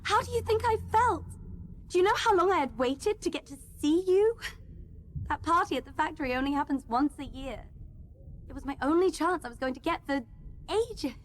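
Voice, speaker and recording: A woman's voice: high voice, expressive, moderate pace, a little hiss or hum. A woman acting a spurned, needling female office worker in a radio play, taunting and wounded. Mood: hurt, indignant.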